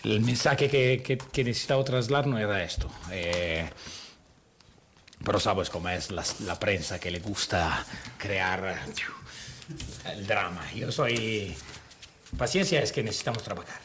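Italian guy coach at a press conference, lowish voice, halting, keeps hunting for words, bit of hiss. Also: fairly bright tone. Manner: halting, searching for words in a second language. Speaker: basketball coach. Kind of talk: post-game press conference answers. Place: press room.

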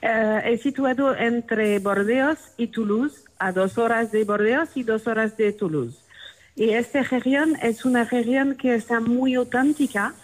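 A woman's voice: on the high side, a little slow, poor audio. A woman with a French accent, a native French speaker, answering interview questions hesitantly while she searches for words. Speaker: tourism office guest. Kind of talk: interview answers in a second language.

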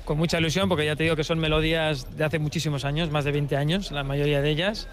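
A man's voice: on the high side, fairly even intonation, fast. A man nearing forty with a Spanish accent from Spain, chatting casually as an interview guest. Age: approaching forty. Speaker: interviewed musician and scientist. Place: outdoors at a festival site.

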